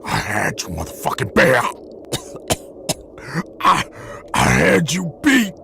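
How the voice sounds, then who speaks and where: A man's voice: medium pitch, huge pitch swings, drawn-out words, gravelly, sharp tone, soft background music, heavily acted. A man playing a beaten, bitter, defiant fighter in a video game, wounded and struggling to speak. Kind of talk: dramatic video game acting.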